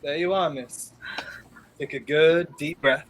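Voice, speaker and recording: Gallant gentleman lead played by a man: 1930s radio thriller, calm, courteous, measured, faintly noisy recording. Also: fairly high pitch, lightly acted. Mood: gallant, reassuring. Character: calm, courteous gentleman helping a frightened lady. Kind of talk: 1930s radio play acting. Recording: faint background noise, video-call audio.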